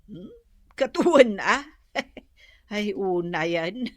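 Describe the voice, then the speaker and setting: A woman's voice: mid-range voice, expressive, drawn-out words, dry recording, clean studio sound. A woman with an Ilocano accent playing a patient, motherly adviser in a radio drama. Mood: patient, resigned. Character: patient, motherly woman giving moral advice to daughter.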